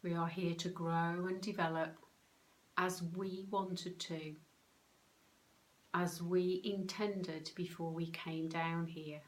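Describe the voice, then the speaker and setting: A woman leading a guided meditation in a calm, soothing, unhurried way, with pauses between short phrases. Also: lowish voice, poor audio. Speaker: meditation guide, soul coach.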